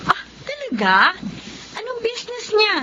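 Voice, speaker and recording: Nosy young girlfriend, high bouncy voice, hiss all over the audio. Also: huge pitch swings, measured pace, highs cut off, full character voice. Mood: curious. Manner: curious, questioning. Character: young woman, curious girlfriend, eager. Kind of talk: radio drama acting.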